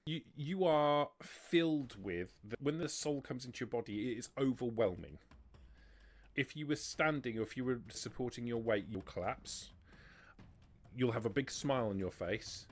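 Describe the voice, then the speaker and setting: A man's voice: mid-range voice, expressive, normal speed. A man running a tabletop roleplaying game as game master, patiently explaining rules and narrating scenes.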